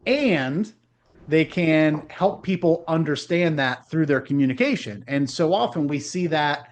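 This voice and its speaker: A man's voice: on the high side, expressive, a little slow. A man hosting a podcast, speaking in a polished, upbeat presenter manner. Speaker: podcast host. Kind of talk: podcast host intro and interview.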